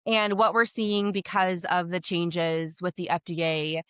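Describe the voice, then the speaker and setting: Woman, lowish scratchy voice, confident and open, explaining stuff, dry close mic. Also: flat delivery, unhurried, a bit bright, clean tone, dry recording. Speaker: women's hormone health provider. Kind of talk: podcast interview.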